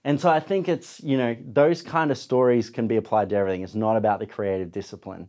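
A man being interviewed about his podcast and photography, talking earnestly and reflectively in casual conversation, his sentences often trailing off softly. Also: fairly low pitch.

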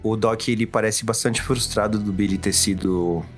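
Brazilian man, game player, fairly low voice, casual, light music behind. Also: steady tone, normal speed. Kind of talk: casual chat during a tabletop game.

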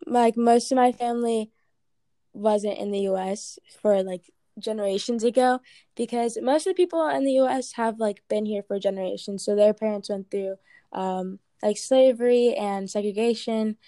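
A woman's voice: mid-range voice, normal speed, dark tone, no room sound. Woman, from Ghana, American accent, casual interviewee, lots of fillers, dry close sound. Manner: chatty, many "like" and "um" fillers.

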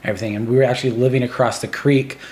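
A man's voice: mid-range voice, steady tone, rapid-fire, darkish tone. Rambling guy in his thirties, echoey room. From Taiwan. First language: Chinese. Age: 30s. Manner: rambling, filler-heavy, laughs between thoughts. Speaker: podcast guest. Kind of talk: casual chat.

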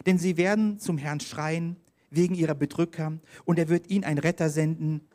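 Guy preaching, mellow voice, tells stories and reads bible bits, clicks thru slides. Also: a little high, fairly even intonation, a little fast. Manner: storytelling, reads scripture aloud, directs slides. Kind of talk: sermon with mission stories.

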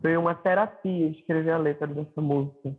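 A man's voice: a little high, fairly even intonation, fairly quick, husky. A man with a Pernambuco accent, a singer-songwriter guest talking casually and reflectively about his music, with lots of fillers. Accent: Pernambuco Portuguese. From Recife, Brazil. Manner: reflective, hesitant with many fillers. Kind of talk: casual interview chat. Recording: tinny phone-like line.

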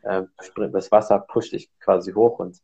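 A man's voice: deep voice, little pitch movement, moderate pace, mellow, slightly rough, lo-fi sound. A man hosting a casual podcast who explains exercises at length with many fillers. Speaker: podcast host.

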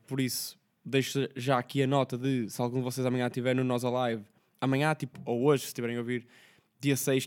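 A hoarse young man with a Portuguese accent, hosting his podcast as a rambling, slang-heavy casual chat. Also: medium pitch, darkish tone. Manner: slangy, rambling, quick talk with many fillers. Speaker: podcast host.

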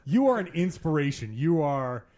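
A man's voice: on the high side, sing-song, normal speed, darkish tone. A man hosting a casual podcast in person, chatty and laughing often, with frequent restarts. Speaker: podcast host. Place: in-person recording in Las Vegas.

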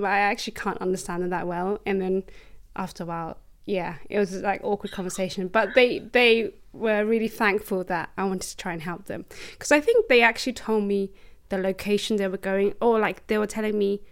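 A young woman hosting a podcast, asking questions and chatting in a relaxed way with fillers and soft laughs. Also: mid-range voice, a little fast. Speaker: podcast host. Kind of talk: casual interview chat.

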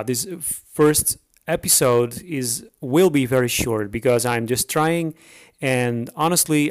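A man with a Dutch accent talks straight to his listeners as the host of his own podcast. His English is a second language, and he speaks off the cuff, stopping often to restart a sentence or fill a gap. His voice sits at an ordinary middle pitch for a man. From the Netherlands. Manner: hesitant, unscripted, frequent fillers and restarts. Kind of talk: solo podcast introduction.

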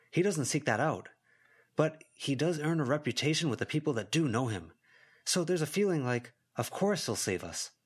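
Man reading as a wary, knowing teenage student, lively intonation. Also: medium pitch, breathy edge. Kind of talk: audiobook character dialogue.

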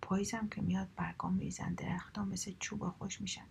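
A woman's voice: mid-range voice, level tone, gravelly, slight background noise, close to an everyday voice. A woman whispering the part of a rude, sulky young woman who always complains, in a storybook reading.

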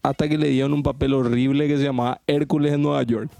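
A man tells a rambling, slangy story on a podcast among friends. His voice is mellow and soft-edged, and he drives the point home by repeating himself. Faint music runs underneath. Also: mid-range voice, little pitch movement, fast talker, vocal fry, dry recording. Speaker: podcast host. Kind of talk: casual chat among friends.

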